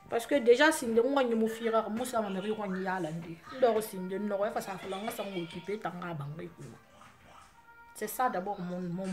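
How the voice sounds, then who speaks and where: A woman from Guinea with a Guinean French accent, Susu her first language, talking to her fans in an impassioned vlog, her voice often rising into loud, forceful outbursts. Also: medium pitch. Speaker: vlogger addressing her fans. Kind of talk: impassioned monologue to camera.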